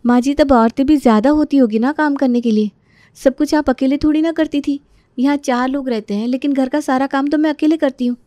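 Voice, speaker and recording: Lady doing a young put-upon indian daughter-in-law in a story, highish voice, meek but resentful, really dry audio. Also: steady tone, brisk, mellow, dry recording, lightly acted. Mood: pleading. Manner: pleading, complaining. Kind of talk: dramatised Hindi storytelling.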